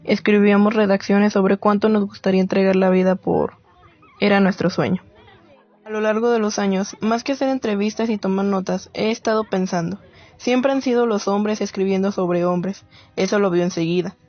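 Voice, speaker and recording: A woman playing a reflective writer, narrating a radio play in a solemn, deliberate, literary way. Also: mid-range voice, steady tone, muffled recording, close to an everyday voice. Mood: solemn reflection. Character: reflective woman writer narrating her search for women's war stories.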